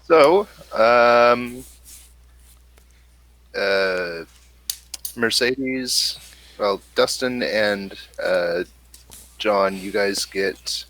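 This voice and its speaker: A man with a low voice narrates a tabletop game as game master. He speaks very slowly, in short halting phrases with pauses between them. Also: a bit bright, low-quality recording.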